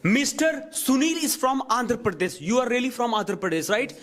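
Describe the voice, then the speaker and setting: A man with an Indian English accent, a teacher moderating a classroom debate, brisk and prompting, often repeating his points. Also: very high voice, very animated, fairly bright tone.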